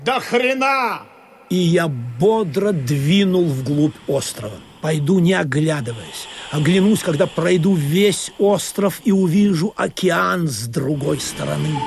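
An actor playing a wistful, questioning sailor who tells of an absurd sea voyage in a deliberate, musing radio play manner. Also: male voice, fairly high pitch, animated, creaky, a little hiss or hum, full character voice. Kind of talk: literary radio play narration and acting. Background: music under the voice.

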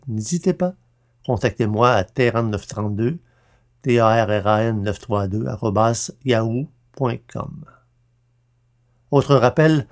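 A man works as narrator and podcast host, reading from a script. His delivery is formal and carefully enunciated. The voice has a medium male pitch. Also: a little mellow.

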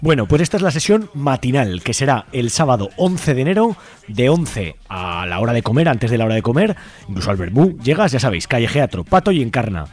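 A man's voice: medium pitch, sing-song, brisk, a little mellow. A man hosting an informal radio interview, explaining things in a colloquial way. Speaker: radio host.

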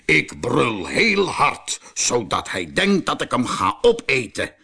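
A husky, growling toy lion played by a man from a children's storybook, boastful and menacing. The words are drawn out slowly for threat. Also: mid-range voice, wildly swooping pitch, bright, forceful tone, full character voice.

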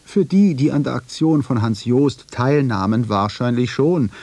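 A man's voice: medium pitch, lively intonation, measured pace, dark tone, no echo. A man narrating a scripted radio documentary in dialogue form.

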